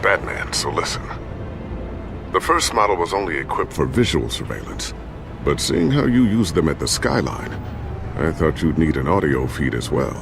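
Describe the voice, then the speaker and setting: Helpful gadget expert for a game: measured, gravelly, deep, music behind. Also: male voice, very animated, full character voice. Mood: calm explaining. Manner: measured, explanatory. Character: calm, helpful male tech inventor.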